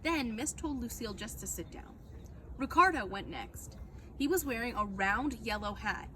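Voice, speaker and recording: A woman reading a children's book aloud as a storyteller, with expressive, dramatic narration. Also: fairly high pitch, normal speed, a little hiss or hum.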